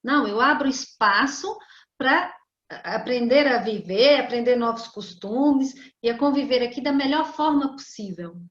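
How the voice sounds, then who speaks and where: A middle-aged woman speaks as a psychologist in a measured, explanatory way. She often ends her points with a questioning tag. Her voice sits high and the recording sounds dull and roomy. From Brazil. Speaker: psychologist guest. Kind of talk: calm explanatory interview talk.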